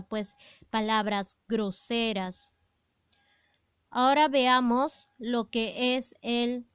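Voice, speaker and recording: Clear-voiced woman teaching slowly. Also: fairly high pitch, a bit bright, dry recording, clean studio sound. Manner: patient, explanatory, many fillers and rhetorical questions. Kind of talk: explaining a grammar lesson to students.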